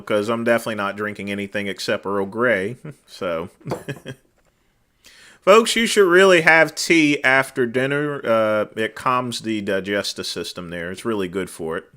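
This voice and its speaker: A man hosting a casual livestream, talking to viewers in a loud, carrying voice, rambling with many fillers and letting phrase ends drop off quietly. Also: mid-range voice, a little slow. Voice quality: naturally loud, cutting voice. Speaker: livestream host. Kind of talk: casual chat reading viewer comments. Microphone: desk microphone.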